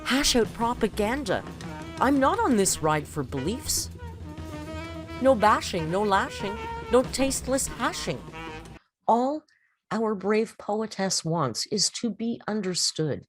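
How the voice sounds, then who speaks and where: Female reader for dramatic poetry and critique; grandiose, unhurried, very expressive intonation. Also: medium pitch. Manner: theatrical, deliberate, grandiose delivery. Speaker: host reading and critiquing a poem. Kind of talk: dramatic poetry reading and literary commentary. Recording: music under the voice. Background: background music.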